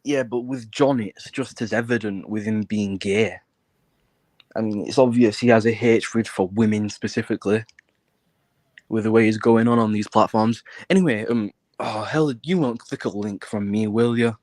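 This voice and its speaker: A man's voice: lowish voice, moderate pace, darkish tone, no echo. Blunt British man, dry close sound. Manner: blunt, sweary, insistent. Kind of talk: casual chat.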